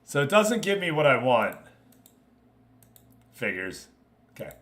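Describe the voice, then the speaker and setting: A man's voice: medium pitch, big pitch swings, a little slow, fairly bright tone. A man hosting an online football management game league, chatting casually and informally with his viewers as he commentates.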